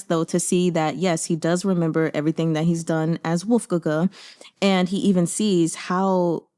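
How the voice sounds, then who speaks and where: A woman's voice: lowish voice, darkish tone. A woman hosting a book discussion in a casual, chatty style, speaking informally with slangy, opinionated and emphatic commentary.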